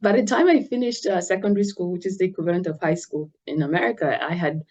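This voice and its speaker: A middle-aged woman from Nigeria, a guest talking about her life in an interview, speaking in a thoughtful, measured way with many fillers. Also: on the low side, darkish tone, muffled recording. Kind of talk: interview conversation.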